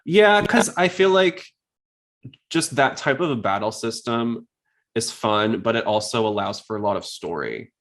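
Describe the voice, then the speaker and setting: A man's voice: medium pitch, animated, measured pace, a bit bright. A man hosting a casual podcast, talking in an informal, rambling way. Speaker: podcast host.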